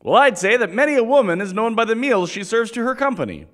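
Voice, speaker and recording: A man's voice: very high-pitched, very animated, fast talker, a bit bright, exaggerated voice. A man playing a polished, folksy sponsor's announcer in a 1940s-style radio commercial. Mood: enthusiastic.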